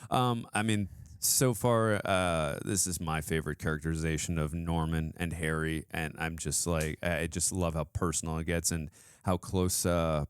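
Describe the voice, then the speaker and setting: Man, deep resonant voice, casual review chat, effusive, emphatic, dry close sound. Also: fairly even intonation, normal speed, clear, resonant tone, no room sound. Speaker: reaction show host.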